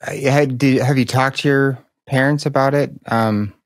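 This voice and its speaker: A man hosting an interview, asking brief, quick questions from a home studio at a desk mic. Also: medium pitch, fairly even intonation, no room sound. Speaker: podcast host. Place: home studio with colored lights, indoors. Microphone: desk mic on arm.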